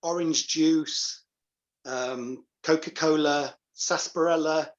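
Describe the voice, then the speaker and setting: A man's voice: on the high side, fairly even intonation, slow pace, dull audio. A man speaking as an interview guest, telling anecdotes in casual chat. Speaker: guest, pantomime dame and director.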